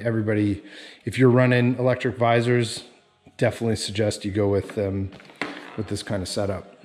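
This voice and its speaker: A man giving a casual, enthusiastic vlog tour in a garage, pausing often as he finds his words. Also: mid-range voice, a little mellow, slight background noise. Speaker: snowmobile vlogger. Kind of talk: casual walkaround tour to camera. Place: residential garage with concrete floor.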